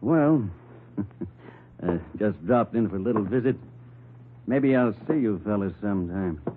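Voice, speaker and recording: An actor playing a bitter ex-convict in radio crime drama, falsely friendly with a sardonic, insinuating menace. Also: male voice, fairly low pitch, lively intonation, measured pace, a little hiss or hum. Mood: bitter sarcasm. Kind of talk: 1950s radio crime acting. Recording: muffled vintage radio recording.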